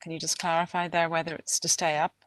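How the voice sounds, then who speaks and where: A woman chairs a council meeting. She is brisk and procedural and calls members in turn. Her voice sits a little low and sounds dry and close. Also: little pitch movement, fairly bright tone, no echo.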